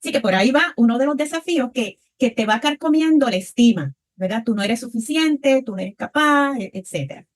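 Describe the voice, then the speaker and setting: Puerto Rican woman, slightly high voice. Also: animated, fairly quick, few pauses. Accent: Puerto Rican Spanish. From Puerto Rico. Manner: reflective, frequent tag questions. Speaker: panelist. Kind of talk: panel discussion answer.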